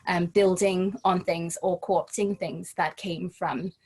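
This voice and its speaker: A woman from Trinidad and Tobago, a guest speaking in a relaxed interview and often restarting her phrases as she thinks. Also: on the low side, slow pace, slightly rough. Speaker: guest, home educator. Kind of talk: casual conversational interview.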